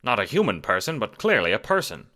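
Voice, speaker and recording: A man giving dramatic, wry scripted narration for an audio drama, theatrical and expressive. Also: lowish voice, brisk.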